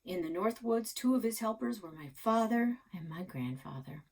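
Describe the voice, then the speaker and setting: A woman reading a children's storybook, voicing a gruff, grumpy old grandfather with a folksy, plainspoken manner. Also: mid-range voice, animated, normal speed, darkish tone. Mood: storytelling, folksy. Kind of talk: children's storybook read-aloud.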